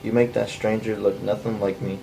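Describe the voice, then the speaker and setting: A man reading the part of a menacing, bullying man in a flat, unpolished script read, with a gravelly voice. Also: on the low side, brisk. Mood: threatening. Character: menacing, bullying man demanding car keys. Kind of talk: cold table read of a film script. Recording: faint background noise.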